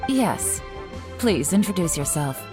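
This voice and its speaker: An actress playing an assured senior official in a video game, measured and businesslike with a sly edge. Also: female voice, fairly low pitch, very animated. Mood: composed. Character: composed, assured female senior official, slyly teasing. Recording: faint music behind.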